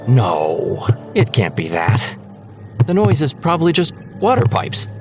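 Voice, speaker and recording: A man's voice: mid-range voice, huge pitch swings, unhurried, music in the background. A man playing a practical, dismissive husband and father in a haunted-house audio drama. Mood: dismissive, reassuring.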